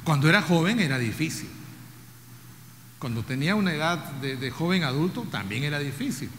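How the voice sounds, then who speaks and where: A Peruvian pastor in his fifties preaches to his congregation in a high, bright voice that swings widely. He throws rhetorical questions at the room and repeats his key lines. The sound carries a long church-hall reverb with faint room noise behind it. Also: lively intonation, a little fast. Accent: Peruvian Spanish. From Peru. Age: 50s. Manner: jokes with the audience, drops to soft low tones for emphasis. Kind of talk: sermon.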